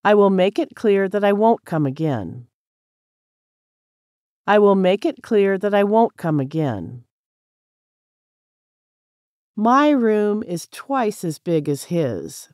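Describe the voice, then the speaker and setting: A woman with an American accent reads practice sentences very slowly, each word laid out plainly for learners. Her pitch rises and falls freely across each line. The sound is dry and close, with no room around it. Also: very animated, darkish tone, dry recording. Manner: clear, careful, each sentence said three times. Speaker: English practice reader. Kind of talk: slow repeated sentence reading for drills.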